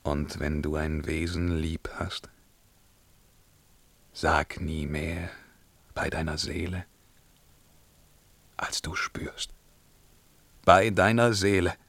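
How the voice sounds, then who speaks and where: Tormented emperor, forceful and slow. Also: male voice, very deep voice, fairly even intonation, exaggerated voice. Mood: hushed, solemn. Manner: declamatory, swinging between outcries and hushed murmurs. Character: tormented brooding emperor, feverish, commanding and world-weary. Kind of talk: theatrical German verse radio drama acting.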